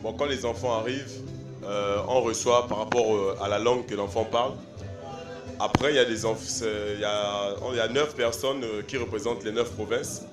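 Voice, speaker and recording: A young man from Gabon answers interview questions on the spot, halting and restarting his sentences. He sounds distant from the microphone, with a roomy echo and clear music playing behind him. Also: fairly low pitch, fairly even intonation. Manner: hesitant, many fillers and restarts. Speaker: language stand organizer. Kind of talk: field interview.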